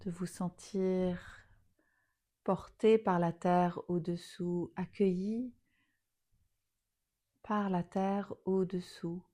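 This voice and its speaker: Female meditation guide, lowish pitch, unhurried and soothing, phrases fading softly into breath. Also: steady tone. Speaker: yoga nidra teacher.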